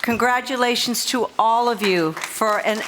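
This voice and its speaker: A woman's voice: on the high side, measured pace. A woman giving informal, encouraging instructions to a group as a competition official. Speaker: competition official addressing finalists. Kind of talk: spoken address to a group, informal. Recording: live hall with crowd noise.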